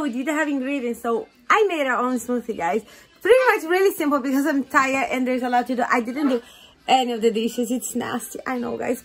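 A woman's voice: sits high, fairly bright tone. A young woman from Brazil with a Brazilian accent, a native Portuguese speaker, chatting casually to camera as a daily vlogger. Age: young adult. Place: indoors at home, kitchen and living room. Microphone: phone at arm's length. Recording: noisy home with appliance and child sounds.

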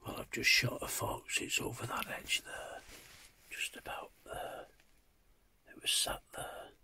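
A man's voice: a little slow, pushed and bright, whispered. A man giving a casual, wry, muttered solo vlog in and around a car at night. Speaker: vlogger, hunter.

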